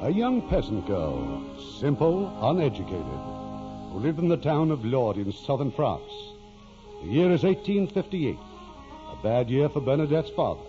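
A man delivering formal, measured scripted narration as the host of an old radio drama. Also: medium pitch, sing-song. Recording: dull old radio broadcast with music.

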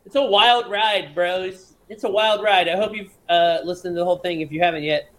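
Bright-voiced, high-pitched male musician. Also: fairly even intonation, fairly quick, a little hiss or hum. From Florida. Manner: laid-back, playful, promoting his music. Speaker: guest musician. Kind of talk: casual podcast chat.

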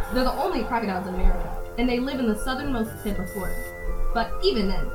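A woman's voice: medium pitch, close to an everyday voice. A woman acting in an amateur audio drama as a headstrong, enthusiastic know-it-all diver who explains things earnestly. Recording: roomy sound with music underneath.

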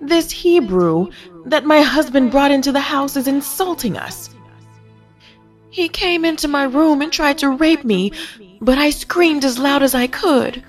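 A woman's voice: sits high, a little mellow, pitched above the performer's own voice. A woman voicing a scheming, indignant officer's wife in a dramatic, accusing manner for an audio Bible story.

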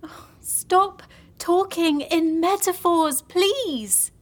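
A woman's voice: very high voice, very slow, exaggerated voice. A woman playing a guilt-ridden, distraught young woman in an audio drama, with a dramatic, sulky and sarcastic delivery. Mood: sullen, sarcastic.

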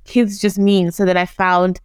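A young woman from Zimbabwe whose first language is Shona, talking as a guest in an animated, casual interview and acting out the voices she quotes. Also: on the low side, a little slow, clean tone, no room sound, clean studio sound.

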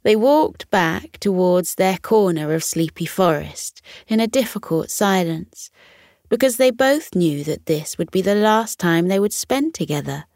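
Unhurried, expressive woman storyteller. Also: on the low side, no room sound, studio quality. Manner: gentle, soothing. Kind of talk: scripted bedtime story narration.

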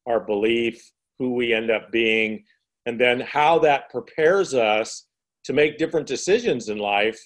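A man hosting an interview, asking questions and reflecting on the guest's answers at length. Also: medium pitch. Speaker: interview host.

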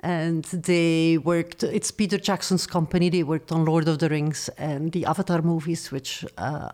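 Woman, middle-aged, lowish voice, measured storytelling. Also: fairly even intonation, darkish tone. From Belgium. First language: not English. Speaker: guest, former studio executive. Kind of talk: interview conversation.

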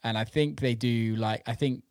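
A man's voice: mid-range voice, fairly even intonation, normal speed, no room sound, studio quality. A British man chatting casually and informally, enthusiastic and given to swearing. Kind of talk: casual chat about food.

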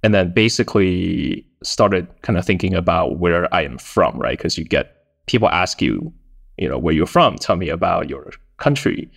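Deep, gravelly man from Taiwan. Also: animated, normal speed. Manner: friendly, chatty, many fillers. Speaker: think tank director, guest. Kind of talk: interview answers.

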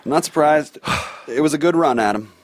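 A man's voice: on the high side, brisk, no echo, natural voice. A man reading the part of a wry, sheepish young slacker in a comedic sitcom table read. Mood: wry, resigned. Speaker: podcast host reading his own sitcom role.

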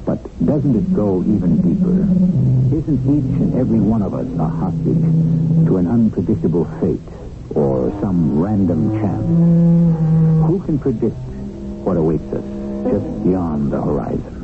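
A man delivers a theatrical radio introduction in a lowish voice with broad, portentous rises and falls. Music plays clearly behind him. The sound is muffled, like an old broadcast. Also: big pitch swings, a little slow. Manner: portentous, rhetorical. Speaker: radio drama host. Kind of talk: dramatic scripted introduction.

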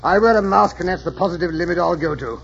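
Pompous, high-voiced fussy gentleman. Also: quick pace, gravelly. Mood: indignant, fussy. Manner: haughty, blustering, fussy. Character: pompous, impatient, fussy old gentleman suitor. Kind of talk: 1940s radio acting. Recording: muffled 1940s radio recording with crowd murmur.

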